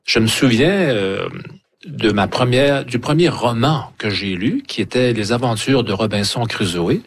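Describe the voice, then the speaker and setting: Homme, romancier en entretien, timbre légèrement soufflé, ton sincère. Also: male voice, mid-range voice, animated, measured pace, husky. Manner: earnest storytelling, hesitant. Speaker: guest author. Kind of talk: interview answers.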